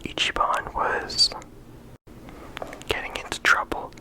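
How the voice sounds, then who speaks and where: An ASMR creator giving a whispered, unhurried character discussion, often pausing and restarting mid-sentence. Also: poor audio. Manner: unvoiced whisper throughout.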